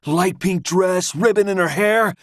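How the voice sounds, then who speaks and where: A man's voice: high voice, unhurried, no echo, clean studio sound. A man voicing an eager young girl-chasing bachelor in a video game, exclaiming in a hammy, gung-ho way. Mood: smitten, excited. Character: eager, excitable young bachelor club bro chasing girls.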